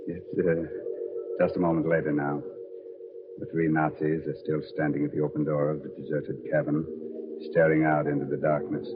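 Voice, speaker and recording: A man's voice: rumbling low, level tone, moderate pace. A man hosting a 1940s radio horror show, narrating in a sly, mock-ominous way. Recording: dull old radio recording. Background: music.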